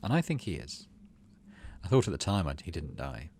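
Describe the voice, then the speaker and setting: A man reading story text from a video game aloud as an expressive narrator, with dramatic storybook delivery and casual asides. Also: extremely low pitch, moderate pace, creaky, a little hiss or hum.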